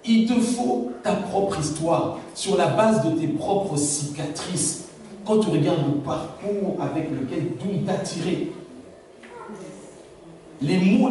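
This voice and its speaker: A man's voice: sits high, mellow. A pastor preaching a sermon to his congregation. His native language is Lingala, and he keeps asking the room whether they follow him. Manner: preaching with rhetorical questions to the congregation. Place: large church hall. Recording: very echoey church hall, distant mic, faint noise.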